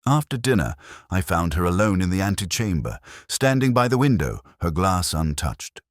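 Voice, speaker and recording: A man narrating a literary mystery in the first person, in a measured, melancholy and solemn way. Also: deep voice, big pitch swings, dry recording, studio quality.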